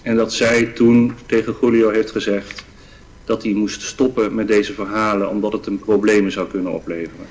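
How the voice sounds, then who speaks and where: A man's voice: lowish voice, little pitch movement, moderate pace, a little mellow, low-quality recording. A man testifying as a witness, speaking carefully and spelling out names.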